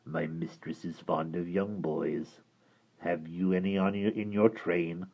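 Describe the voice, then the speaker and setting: A sinister little water creature, as a male reader puts him on, with a deep, gravelly voice dropped well below the reader's own. He drawls slowly and coldly, half in a whisper. It comes through a dull, lo-fi recording. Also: fairly even intonation, exaggerated voice. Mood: sly. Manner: slow, low and menacing, half-whispered.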